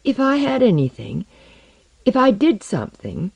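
Reader putting on an anxious earnest guy, proud, insistent questions, pitched up, storybook character. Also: huge pitch swings, normal speed, vocal fry, breathy edge, no room sound, full character voice, low-quality recording. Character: anxious, proud, searching male blank character seeking identity.

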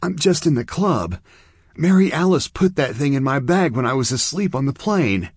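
A man doing a scared young girl, pitched high. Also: lively intonation, normal speed, slightly rough, dry recording, clean studio sound. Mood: pleading. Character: frightened teenage girl caught in a crime.

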